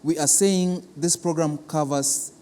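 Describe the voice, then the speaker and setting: A man with a Kenyan accent explaining a programme in an interview as an official, deliberate and repeating words for emphasis. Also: on the high side, fairly even intonation, dark tone, slight background noise. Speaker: cultural centre official being interviewed. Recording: reverberant hall.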